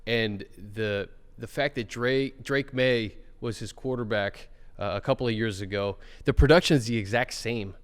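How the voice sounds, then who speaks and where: A man's voice: medium pitch, moderate pace, few pauses, smooth. A man hosting a sports podcast, chatting loosely about a player and putting questions to his co-host. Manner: conversational, asks questions, fillers like uh and um. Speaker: show host. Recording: reverberant room sound.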